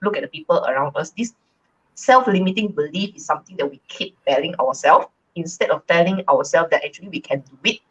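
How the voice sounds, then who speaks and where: Husky, low-voiced woman from Malaysia, co-host, chatty and reflective. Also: a little fast, dull audio. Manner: relaxed, reflective, frequent fillers. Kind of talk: casual live-stream chat.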